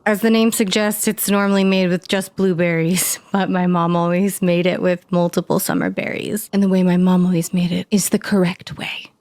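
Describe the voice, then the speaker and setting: A woman's voice: on the low side, flat delivery, normal speed, darkish tone, no room sound. Dry, sarcastic woman narrating. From United States. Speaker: video essayist. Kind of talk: voice-over narration with a cooking segment. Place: home kitchen.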